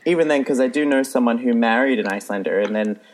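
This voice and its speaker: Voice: mid-range voice, quick pace. A co-host in a casual chat, earnestly explaining with many restarts.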